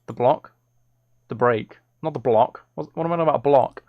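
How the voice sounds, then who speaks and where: A man's voice: mid-range voice, normal speed, phone-quality sound. A man chatting casually as he works on music on a live stream, musing aloud in a self-deprecating way. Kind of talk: casual chat while working.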